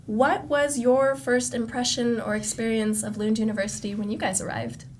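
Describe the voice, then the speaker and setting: A young woman from the USA hosts a live student panel in an upbeat, welcoming way. Her voice sits fairly high. A faint low rumble runs underneath. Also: level tone, moderate pace, darkish tone. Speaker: host.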